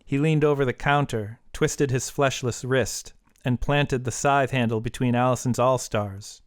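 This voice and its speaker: A man's voice: medium pitch, fairly even intonation, measured pace, no echo, clean studio sound. A man reading a short story as scripted audiobook narration.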